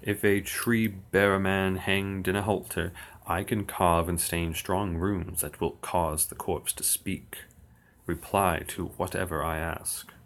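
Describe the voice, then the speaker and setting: A man reciting old verse slowly and steadily on his livestream, with dry asides. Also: deep voice, steady tone. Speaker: occult streamer. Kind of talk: reading verse aloud on a livestream.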